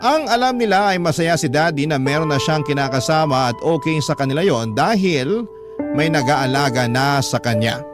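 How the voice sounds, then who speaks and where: A man narrating a letter as an emotive, measured dramatic radio story. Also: a little high, lively intonation. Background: loud music.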